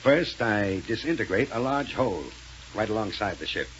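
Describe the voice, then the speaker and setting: An actor playing a commanding, self-assured space villain who gives curt orders in a 1930s radio serial. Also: male voice, on the low side, animated, moderate pace, lightly acted. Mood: smug, assured. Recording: muffled 1930s radio recording, faint noise.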